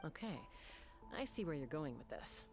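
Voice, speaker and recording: A woman's voice: low-pitched, very animated, normal speed, a little mellow. A woman voicing a bold, decisive young woman of action in a video game. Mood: determined. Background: music.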